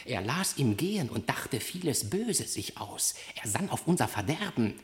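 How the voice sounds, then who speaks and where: A dignified rooster father in mourning in a classic radio play. He declaims his complaint in a mid-pitched voice with big melodic swings, lamenting and solemn. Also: sing-song, moderate pace. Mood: bitter accusation. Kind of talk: classic radio drama verse acting.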